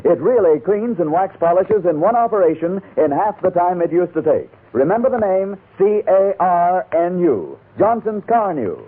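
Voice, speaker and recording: A man's voice: high voice, big pitch swings, normal speed, hardly any pauses, breathy edge. A man reading a radio commercial in 1940s announcer style. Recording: tinny, narrow old radio recording.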